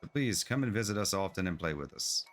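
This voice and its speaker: A man's voice: low-pitched, expressive, fast, fairly bright tone, soft background music. A man voicing a small, playful, carefree fairy pixie in a sing-song, gushing manner while reading game dialogue aloud. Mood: affectionate.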